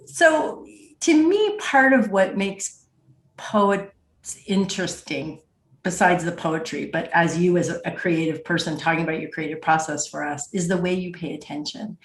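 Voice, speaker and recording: A woman's voice: on the low side, moderate pace. A woman hosting a podcast, interviewing in a conversational way, recorded with an echoey room sound.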